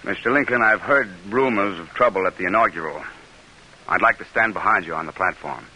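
An actor playing a middle-aged senator, grave, earnest and formal in delivery, in an old-time radio drama. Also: male voice, low-pitched, a little fast, pushed and bright, slightly rough, heavily acted, rough recording. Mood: solemn, resolute. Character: defeated middle-aged senator, earnest, weary, devoted statesman. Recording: hissy vintage radio broadcast recording.

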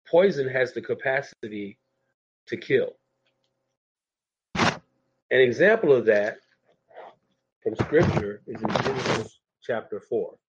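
A man preaching a sermon and reading scripture aloud, sounding congested and a little nasal from a sinus infection, speaking in a measured way and repeating key phrases. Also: medium pitch, highs cut off, noisy background.

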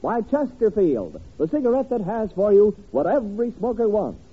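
High, husky male announcer on tinny old radio. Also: very animated, normal speed. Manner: emphatic, formal sales delivery. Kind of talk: 1950s radio announcing and ad reading. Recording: tinny, band-limited old radio broadcast.

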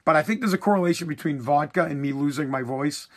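A man's voice: fairly high pitch, quick pace. A man hosting his own podcast, ranting bluntly and heatedly with swearing while he is losing his voice. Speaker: podcast host.

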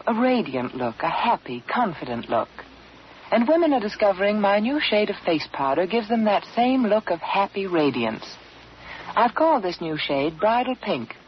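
A woman giving a polished, emphatic scripted sales pitch in the style of a 1940s radio advertisement. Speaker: commercial spokeswoman. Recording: muffled, noisy old radio recording.

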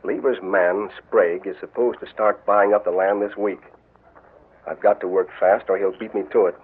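An actor playing a scheming gambler villain, curt and commanding, in 1940s radio drama style. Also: male voice, on the low side, steady tone, moderate pace, slightly rough. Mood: menacing. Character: scheming male gambler villain, cold and bossy. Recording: narrow, hissy old radio recording.

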